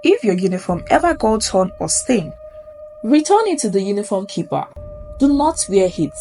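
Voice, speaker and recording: A woman's voice: medium pitch, big pitch swings, moderate pace, warm tone. A Nigerian woman narrating a spooky folktale in a suspenseful, theatrical way. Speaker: storyteller. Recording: music under the voice. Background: background music.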